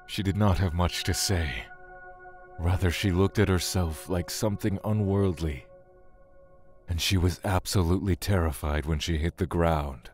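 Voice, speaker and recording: A man's voice: low voice, a little mellow, close to an everyday voice. A man playing a weary, brooding god who solemnly recounts his love and exile in an audio drama, with music underneath. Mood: somber, reflective. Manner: slow, solemn, brooding storytelling.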